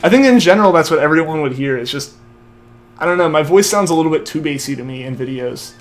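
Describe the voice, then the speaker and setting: A man's voice: on the high side, animated, fast, soft background music. A young man streaming a game, chatting casually and reading out viewer comments, his speech full of "like" and trailing restarts. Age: young adult. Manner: rambling, filler-heavy, keeps saying like. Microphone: headset mic feeding back to headphones.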